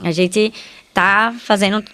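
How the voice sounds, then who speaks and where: A woman's voice: mid-range voice, steady tone, slow pace, sharp tone. A Brazilian woman speaking as a doctor and podcast guest, conversational and informal, repeating words for emphasis.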